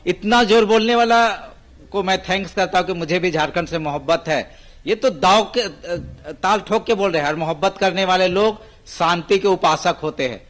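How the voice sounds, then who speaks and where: A man with a slightly raised pitch. He discusses politics as a guest, in a reflective, earnest way with a philosophical turn. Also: fairly bright tone. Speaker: panel guest.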